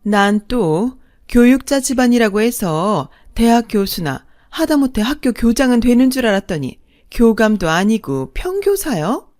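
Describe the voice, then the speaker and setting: A woman's voice: very animated, unhurried, hardly any pauses, darkish tone, no echo, studio quality. A woman voicing a haughty, spiteful middle-aged woman who mocks and coos in a dramatised family story. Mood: sneering, condescending. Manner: mocking, cooing at her man, shrill in outrage.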